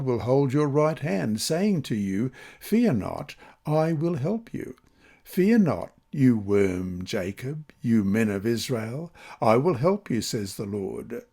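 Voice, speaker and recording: A man from Australia reading a scripted devotional lesson and scripture aloud, with measured, emphatic phrasing. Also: mid-range voice, mellow. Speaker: lesson reader.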